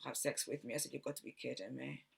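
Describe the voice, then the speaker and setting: A woman from Barcelona with a Spanish accent tells a rambling personal story in a halting way, repeating words and trailing off. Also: very deep voice, steady tone, creaky, slightly rough. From Barcelona, Spain. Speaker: video host telling personal story.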